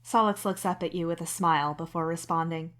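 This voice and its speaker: Female story narrator for fiction podcast, lowish register, scripted second-person read. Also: steady tone, a little fast, a bit bright. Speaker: podfic narrator and author.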